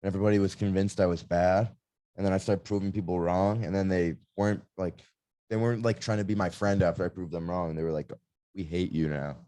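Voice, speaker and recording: A man's voice: low-pitched, fairly even intonation, brisk, mellow, no room sound. A man hosting a casual comedy podcast, rambling and provocative, with lots of "like" and filler. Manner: rambling, provocative, heavy use of "like". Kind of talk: casual chat.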